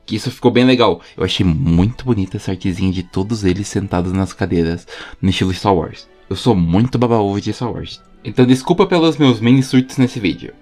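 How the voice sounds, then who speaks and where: A man's voice: fairly low pitch, animated, fairly quick, a little mellow. A man with a Brazilian accent giving enthusiastic, informal fan commentary as a YouTube host, using plenty of slang. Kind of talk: casual fan commentary voice-over. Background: music under the voice.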